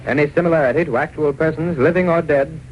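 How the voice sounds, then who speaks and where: A man's voice: a little high, fast talker, a little hiss or hum, close to an everyday voice. A man performing as a grand, declamatory announcer for a 1930s radio thriller, on a dull old recording. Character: dramatic show announcer introducing a masked vigilante.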